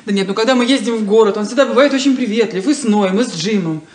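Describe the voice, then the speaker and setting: An actress playing a proud, insecure young daughter in a 1970s Soviet radio play, with a theatrical, defensive, wounded delivery. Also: female voice, fairly high pitch, quick pace, a little mellow, lightly acted. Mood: wounded protest. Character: proud, insecure, plain-spoken unmarried daughter. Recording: roomy 1970s radio drama recording.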